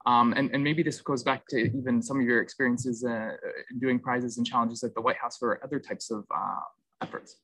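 A man's voice: mid-range voice, steady tone, moderate pace, pushed and bright, no echo, poor audio. A young man moderating an online panel over video call, asking loose questions with fillers.

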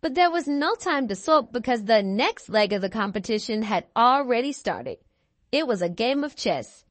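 Very lively high-voiced woman reading a storybook. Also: normal speed, dry recording. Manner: theatrical, clearly articulated, emphatic. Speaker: children's book reader. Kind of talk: expressive scripted storytelling.

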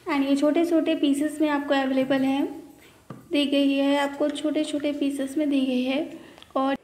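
High-voiced woman reviewing a chocolate bar. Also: flat delivery, unhurried, a little mellow. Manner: chatty, addresses viewers as guys, mixes English phrases. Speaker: food channel host reviewing chocolate. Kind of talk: casual product review vlog in Hindi-English mix. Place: indoors at a table. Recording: echoey room with faint noise.

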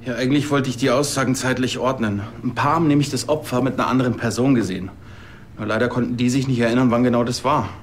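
Actor as eager young-rank male cop, quick earnest delivery, faint background noise. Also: medium pitch, steady tone, lightly acted. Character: earnest, eager junior policeman.

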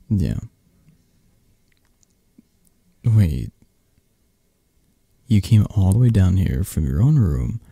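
A man playing a possessive, tender, flirtatious mafia boss in an intimate, soft-spoken ASMR roleplay, murmuring and coaxing with hesitant phrasing. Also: fairly low pitch, gravelly, husky, no echo, studio quality, full character voice. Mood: flirty, coaxing.

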